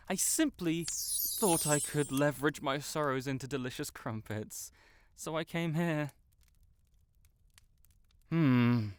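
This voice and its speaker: A man's voice: fairly high pitch, no echo. A man playing a haughty, wordy young wizard who sputters as he is compelled to honesty, in an audio roleplay addressed to the listener. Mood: pompous, exasperated. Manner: pompous, theatrical, wordy, sputtering.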